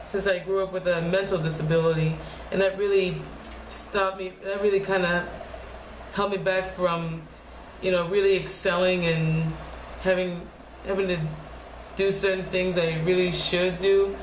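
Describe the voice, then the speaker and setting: A young woman speaking quietly in a low, deep voice, talking haltingly and restarting her words often in a casual personal vlog at home. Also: level tone. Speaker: vlogger. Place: home room. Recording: echoey room with faint noise.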